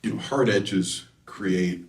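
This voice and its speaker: A man being interviewed as a designer, explaining technical matters casually and haltingly, often correcting himself. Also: low-pitched. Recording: echoey room, distant microphone.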